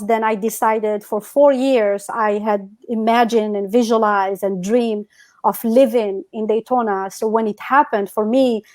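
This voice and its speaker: A woman's voice: on the high side, a little mellow. A woman from North Africa whose first language is Berber, chatting as a guest on a video call. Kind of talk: casual interview over a video call.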